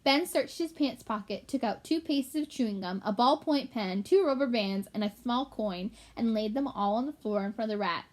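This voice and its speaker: A woman's voice: on the high side, normal speed, hardly any pauses. A woman storyteller reading a children's book aloud expressively and in an explanatory way for young listeners.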